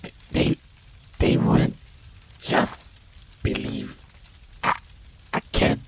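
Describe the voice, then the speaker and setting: A man's voice: extremely slow, a little hiss or hum. A man playing a menacing, vengeful feral vampire in an audio roleplay, stammering in broken, growled words. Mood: halting, menacing. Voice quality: gravelly, creaky, breathy growl. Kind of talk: audio roleplay villain acting.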